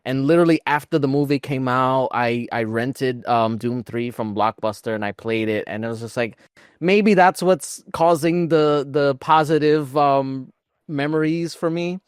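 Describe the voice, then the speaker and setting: Smooth-voiced man chatting on a podcast. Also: medium pitch, unhurried, no room sound, clean studio sound. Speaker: podcast co-host. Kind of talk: casual panel chat.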